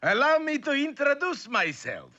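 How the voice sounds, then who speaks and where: A man playing a sly cartoon spy villain, a gravelly voice and an oily theatrical manner. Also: very high voice, huge pitch swings, moderate pace, bright, forceful tone, full character voice. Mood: gloating, smug. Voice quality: gravelly, creaky. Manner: oily, mock-modest, theatrical. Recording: dull old cartoon soundtrack.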